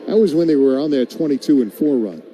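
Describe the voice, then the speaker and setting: A man calling baseball play-by-play on the radio and chatting in a wry, conversational way between pitches. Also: expressive, fast, dark tone, a little hiss or hum, poor audio. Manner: wry, tongue-in-cheek. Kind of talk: live play-by-play mixed with conversational commentary.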